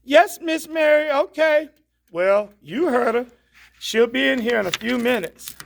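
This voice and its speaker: A woman's voice: sits high, unhurried, vocal fry. A woman reading the part of a dutiful but cheeky housemaid in a stage play, polite and matter-of-fact. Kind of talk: stage play table read.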